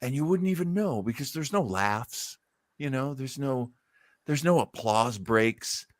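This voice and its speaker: A man hosting a casual podcast chat, enthusiastic and quick to prod others with questions. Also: mid-range voice, expressive, no echo, studio quality. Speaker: podcast host. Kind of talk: casual chat about old radio and films.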